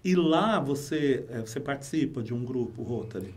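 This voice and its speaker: A male teacher moderating a casual school interview, chatty and quick with agreeing interjections. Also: medium pitch, lively intonation. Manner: chatty, with lots of backchannel agreement.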